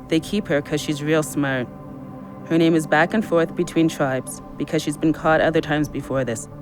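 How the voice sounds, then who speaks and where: A man acting a reckless, drunk man coming unravelled in an audio play. His voice sits fairly high, with an insistent, excitable push, over prominent music. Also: lightly acted. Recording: music behind the voice.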